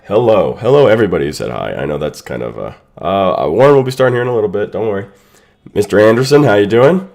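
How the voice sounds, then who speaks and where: A man's voice: lowish voice, big pitch swings, fast talker, vocal fry, a little mellow. A man hosting a live stream, talking casually to his chat and greeting people by name while he sets up, with a voice congested from a sinus infection. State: sick, congested voice. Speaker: streamer hosting a watch party. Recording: echo from unmuted stream audio.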